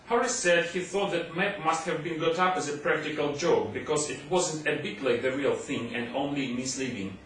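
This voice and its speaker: A man's voice: fairly high pitch, moderate pace, few pauses, a bit bright. A man reading a humorous classic story aloud as scripted audiobook narration. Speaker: audiobook reader. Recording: very echoey room, distant mic, faint noise.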